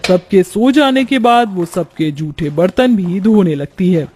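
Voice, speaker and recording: A man's voice: sits high, animated, unhurried, darkish tone, slight background noise. A man narrating a scripted cartoon family story.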